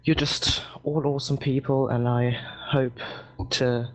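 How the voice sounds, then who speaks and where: A man with an English accent, raised in Germany, talking earnestly and haltingly in a casual voice chat with his gaming guild. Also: mid-range voice, level tone, rough recording. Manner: earnest, halting, many restarts. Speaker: guild member. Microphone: headset over voice chat.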